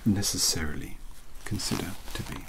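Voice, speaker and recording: A British man playing a younger, bookish and polite vampire-hunter mentor in a soft-spoken ASMR roleplay, hesitant, wordy and easily distracted. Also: low voice, moderate pace, gravelly, noisy background. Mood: scholarly, rambling. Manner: hesitant, apologetic, wordy, scholarly.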